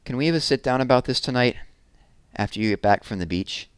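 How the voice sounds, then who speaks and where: A man voicing a gentle, tired, worried mother in a children's story. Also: mid-range voice, lively intonation, quick pace, clean tone, no room sound, voice pushed up, close to an everyday voice. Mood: concerned.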